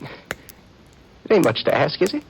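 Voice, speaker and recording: High, agitated man in fear. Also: wildly swooping pitch, takes it slowly, gravelly, exaggerated voice. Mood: pleading, impatient. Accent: American. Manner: agitated, pleading, insistent. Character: frightened, whining, pushy man fearing for his life. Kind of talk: old-time radio western acting. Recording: faintly noisy vintage radio recording.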